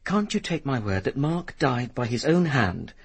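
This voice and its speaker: An actor playing a sardonic, impatient upper-class young Englishman in a British radio drama, with a gravelly, husky voice. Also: medium pitch, very animated, moderate pace. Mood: pleading. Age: young adult. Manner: sardonic, articulate, dismissive.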